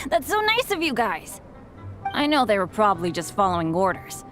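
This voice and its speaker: A woman's voice: mid-range voice, wildly swooping pitch, moderate pace, a bit bright. A woman voicing an earnest, eager teenage boy adventurer in a video game, boyish and apologetic in manner. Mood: grateful, earnest. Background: game music.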